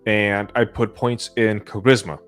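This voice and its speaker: A man's voice: lowish voice, flat delivery, a little slow, a bit bright. A man chatting casually about roleplaying games, correcting himself as he feels his way through a thought. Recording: faint music in the background. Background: faint music.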